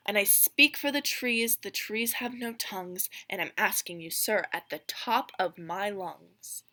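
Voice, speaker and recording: A woman's voice: medium pitch, a little slow. The Lorax, a bossy little old creature, read by a woman. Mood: indignant. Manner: sharp and bossy. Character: small bossy mossy old male forest creature.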